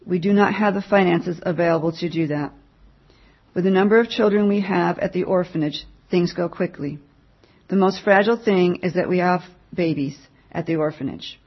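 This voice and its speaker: A woman giving a mission update at church, reading a written report aloud to the congregation in a plain, measured way. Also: fairly low pitch, breathy edge, dull audio.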